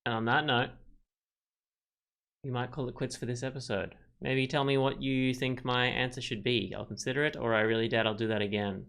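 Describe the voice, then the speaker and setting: A man's voice: medium pitch, fairly even intonation, brisk, vocal fry, a bit bright, clear, resonant tone. A man hosting a gaming video with casual, blunt, musing commentary as he plays. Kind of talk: casual let's play commentary.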